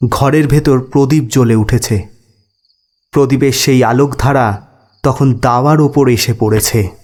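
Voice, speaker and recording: Male ghost story narrator, medium pitch. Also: darkish tone.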